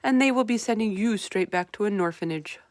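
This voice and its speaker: A woman's voice: medium pitch, fairly quick, no room sound, pitched above the performer's own voice, close to an everyday voice. Female reader as big male storybook giant: sad, stubborn, kindly, rustic muddled grammar, dry sound. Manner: folksy, ungrammatical, mangled invented words. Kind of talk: children's storybook read-aloud.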